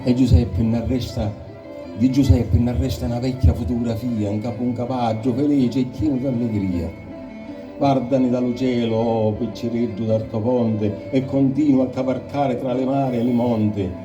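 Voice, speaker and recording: A man with a strong Sicilian accent recites poetry in a solemn, declamatory way. His mid-pitched voice sits a little away from the mic, with music clearly behind it. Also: steady tone, moderate pace, far from the mic, muffled recording.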